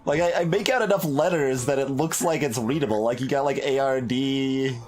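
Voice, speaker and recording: A video game streamer giving casual playthrough commentary, musing and thinking aloud in a halting way. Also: medium pitch, a little mellow, a little hiss or hum.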